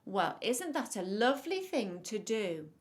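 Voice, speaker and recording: A woman playing a sly, lively storybook wolf. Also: mid-range voice, a little slow. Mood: falsely friendly. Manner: coaxing, overly reassuring. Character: sly male wolf, smooth-talking, falsely friendly predator. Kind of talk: children's storybook character voice.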